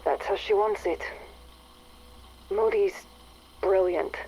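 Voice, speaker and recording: An actress playing an anxious, isolated research scientist who confides guardedly in an audio log. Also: female voice, mid-range voice, fairly even intonation, slow, dark tone, natural voice. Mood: uneasy, musing. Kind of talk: acted audio log monologue. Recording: faintly noisy radio-like audio log.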